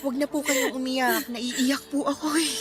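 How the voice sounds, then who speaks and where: An actress playing a devoted, affectionate foster daughter in a Tagalog radio drama, polite and emotional. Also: female voice, sits high, fairly even intonation, unhurried. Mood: tearful longing. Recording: clear background music under the dialogue.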